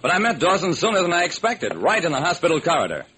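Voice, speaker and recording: Wry, animated radio detective. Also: male voice, on the high side, fast, full character voice. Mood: wry, narrating. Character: wry, sharp-witted detective hero, adult man. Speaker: narrator and lead actor. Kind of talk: old-time radio detective narration and acting. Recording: noisy vintage radio recording.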